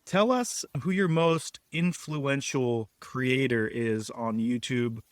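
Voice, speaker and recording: A man's voice: mid-range voice, slow pace, no echo, studio quality. A man co-hosting a podcast, chatting casually, joking and rambling. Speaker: podcast co-host.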